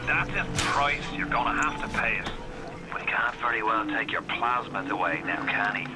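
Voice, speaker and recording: An actor voicing an affable, urgent Irish guide who coaches the player over the radio in a video game, folksy and confiding. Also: male voice, fairly low pitch, lively intonation, moderate pace, over loud music. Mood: wary, confiding. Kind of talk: video game character acting. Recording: crackly radio with loud noise.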